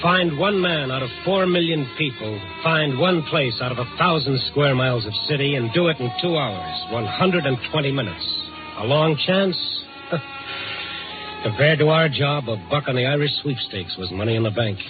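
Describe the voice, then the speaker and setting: An actor playing a dogged, compassionate American newspaper reporter in 1950s radio drama, urgent and clipped. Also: male voice, medium pitch, animated, moderate pace, close to an everyday voice. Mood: tense narration. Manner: urgent, clipped, hard-boiled narration and dialogue. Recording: tinny vintage radio recording with music.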